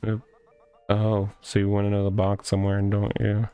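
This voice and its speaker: A man playing a visual novel on a gaming video, reading the dialogue aloud in a halting, dramatic way. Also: lowish voice, completely flat delivery, smooth, no room sound, phone-quality sound. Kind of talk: let's-play, reading game dialogue aloud.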